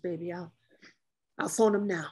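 An actress in a dramatic script reading, playing a female naval officer who is a steady, protective caretaker, with a breathy, husky voice. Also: lowish voice, moderate pace. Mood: soothing. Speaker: actress at a table read.

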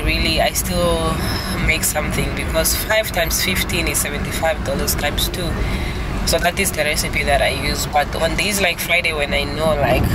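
A woman, chatting casually to her vlog camera from inside a car, thinking aloud as she talks. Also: deep voice. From Namibia. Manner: chatty, self-questioning, laughs easily. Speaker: vlogger and small restaurant owner. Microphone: phone at arm's length. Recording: loud traffic noise in a car.

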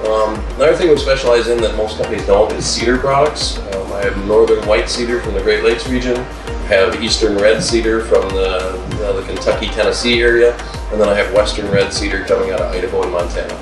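A man's voice: lowish voice, fairly even intonation, a little fast, far from the mic. A middle-aged man who owns a lumber business, speaking plainly with lots of "um" fillers in an interview inside a wood-paneled room. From Wisconsin, USA. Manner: plain-spoken, frequent "um" fillers. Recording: roomy sound from off-mic with music behind.

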